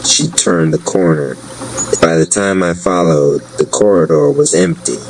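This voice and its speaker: A man narrates a story in a low-pitched voice. He takes his time with a measured, solemn, scripted delivery. A clear layer of rumbling noise sits behind him. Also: very animated, a little mellow, poor audio.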